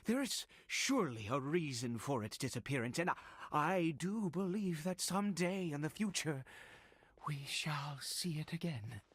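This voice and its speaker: Give this to an anxious, devout man in a fantasy game. The voice is husky and breathy and swings widely in pitch. He speaks slowly and haltingly, stammering with dramatic fervour. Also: a bit bright, exaggerated voice. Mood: hushed wonder. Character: anxious, fervent male believer, desperate and awestruck. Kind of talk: dramatic video game voice acting.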